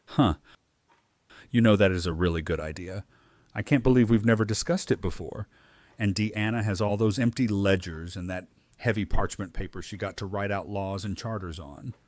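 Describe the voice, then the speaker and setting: A man's voice: on the low side, normal speed, darkish tone, no room sound, lightly acted. A man playing an agreeable, supportive councilman in a fan audio drama, easygoing and encouraging. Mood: thoughtful.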